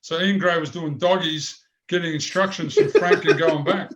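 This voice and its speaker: A man's voice: a little high, steady tone, moderate pace, noisy background. An older man with a big voice, telling rambling stories in an interview. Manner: rambling anecdotes with many restarts. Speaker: former goalkeeper, guest. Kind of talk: storytelling interview.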